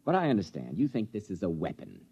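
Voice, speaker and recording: Irascible, scornful scientist, swooping pitch. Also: male voice, huge pitch swings, quick pace, vocal fry, dark tone, breathy edge, no room sound, exaggerated voice. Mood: scornful, exasperated. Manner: indignant, insulting, lecturing. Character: irascible, scornful male scientist doctor, proud of his machine. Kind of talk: 1950s radio drama acting. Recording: muffled vintage 1950s radio recording.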